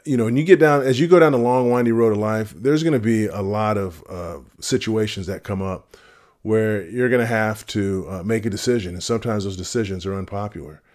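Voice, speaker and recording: Deep-voiced earnest man in his fifties. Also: darkish tone. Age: 50s. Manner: earnest, measured, with frequent fillers and restarts. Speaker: retirement vlogger. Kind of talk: casual advice talk to camera. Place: home room with shelves of vinyl records. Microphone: camera at close framing.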